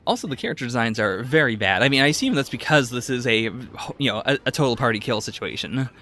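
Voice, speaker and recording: Male podcast co-host: animated intonation, forward bright tone, casual and measured critique. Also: medium pitch, soft background music. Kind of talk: casual reaction commentary on anime.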